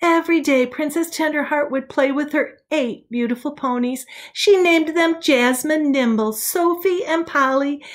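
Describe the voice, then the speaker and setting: Woman playing a high-voiced, sentimental young storytelling girl. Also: a little slow, hardly any pauses. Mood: dreamy, sweet. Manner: prim, expressive, easily indignant. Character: young girl telling a sweet, sentimental princess fairy tale. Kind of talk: dramatic storybook read-aloud.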